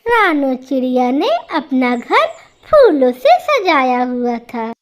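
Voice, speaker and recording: A woman narrates a children's cartoon tale in a very high, animated voice. She takes her time, telling the scripted story at a slow pace.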